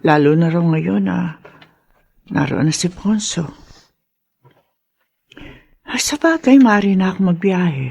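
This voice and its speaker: An actress playing an anxious older mother brooding in a slow Filipino radio drama monologue. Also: fairly low pitch, lively intonation, gravelly, a little mellow, slightly rough, dry recording, exaggerated voice. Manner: brooding, hesitant inner monologue. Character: anxious older mother-in-law brooding over her daughter's marriage. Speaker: drama actor.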